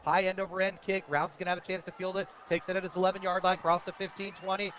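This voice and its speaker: A man's voice: high voice, little pitch movement, quick pace, a bit bright, slight background noise. An American man giving live radio play-by-play commentary of a football game. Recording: dull lo-fi radio broadcast audio.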